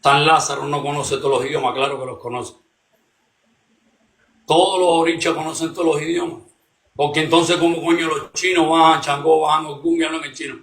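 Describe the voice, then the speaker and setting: Guy, gravelly, blunt and animated, echoey room. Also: fairly high pitch, fairly quick. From Cuba. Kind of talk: casual religious debate.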